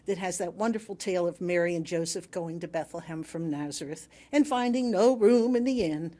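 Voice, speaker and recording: A woman reading a sermon aloud to a congregation in a measured, emphatic way with wry humour. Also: mid-range voice, dark tone. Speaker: reader delivering another minister's sermon.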